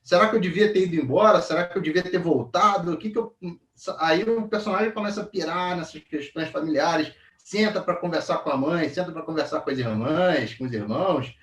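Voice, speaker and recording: A man's voice: fairly high pitch, fairly quick. A man in his forties with a Brazilian accent co-hosts a comics review in casual, colloquial chat. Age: 40s. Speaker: co-host of a comics review channel. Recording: roomy echo, distant mic.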